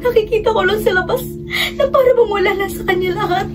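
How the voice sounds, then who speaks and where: High-voiced impassioned young activist daughter played by a woman. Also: quick pace. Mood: tearful, bitter. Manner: impassioned, pleading. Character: Ella, grieving young activist daughter fighting for justice. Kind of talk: Filipino radio drama acting. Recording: distant mic under loud music.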